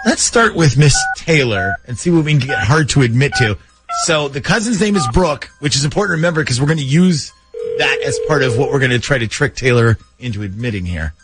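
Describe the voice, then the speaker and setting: A man's voice: medium pitch, lively intonation, fairly quick, loud music behind the voice. A man hosting a morning radio show, bantering his way through a prank phone call. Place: radio studio.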